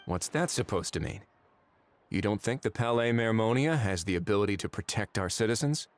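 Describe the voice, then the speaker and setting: An actor playing a composed, politely probing government official in a video game, measured and quietly authoritative. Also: male voice, fairly low pitch, no echo, close to an everyday voice. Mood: cool, polite. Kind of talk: voice-acted game dialogue.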